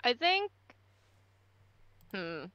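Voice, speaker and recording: A woman's voice: fairly high pitch, wildly swooping pitch, very slow, pushed and bright, clean tone, no room sound. A woman voice actor giving casual advice on a livestream, explaining at length with frequent ums and stammered restarts. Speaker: voice actor guest.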